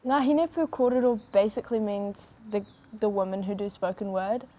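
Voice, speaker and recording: A woman's voice: mid-range voice, moderate pace, lo-fi sound. A slam poet woman with a New Zealand accent speaking earnestly. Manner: earnest, heartfelt. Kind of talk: casual documentary talk.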